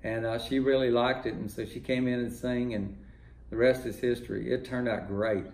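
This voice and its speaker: An older man from Texas, a music producer talking in a relaxed, rambling way full of fillers, in his home studio. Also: mid-range voice, moderate pace, reverberant. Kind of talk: casual interview talk.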